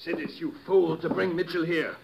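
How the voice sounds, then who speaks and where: A man's voice: on the high side, fairly even intonation, normal speed, vocal fry, dull audio, background noise. An actor playing a scheming, commanding male general in a 1950s radio drama, with a contemptuous manner. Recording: voice from off-mic.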